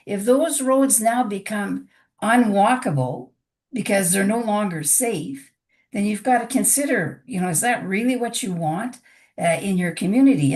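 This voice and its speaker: Woman, hoarse scratchy voice, unwell, earnest, halting, frequent restarts, council meeting public comment. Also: medium pitch. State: hoarse from illness. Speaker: resident speaking to town council.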